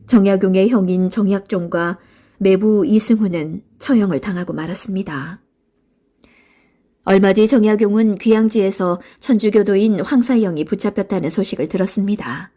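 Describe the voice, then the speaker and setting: A woman's voice: mid-range voice, moderate pace, warm tone. A woman narrating a children's storybook in a scripted read-aloud style.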